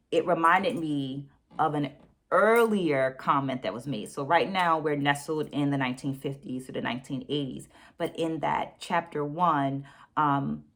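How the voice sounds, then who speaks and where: A woman with a low voice presents a book discussion to her viewers. She phrases her points deliberately and with emphasis, moving between read-out quotations and conversational asides that check in with the listener. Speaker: book review host. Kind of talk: book discussion to camera, reading quotes aloud.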